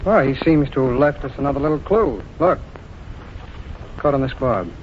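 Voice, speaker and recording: An actor brings to life a calm, methodical federal agent who talks in a businesslike, matter-of-fact way. The recording is muffled like an old 1940s broadcast, with a faint hiss beneath it. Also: male voice, mid-range voice, normal speed, lightly acted. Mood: investigating. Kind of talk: 1940s radio acting.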